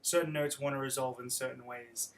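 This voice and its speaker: A man's voice: mid-range voice, fairly even intonation, fairly quick, slight background noise. A young man teaching guitar on a live stream, explaining chords in a friendly, rambling way with many restarts. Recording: guitar playing behind voice.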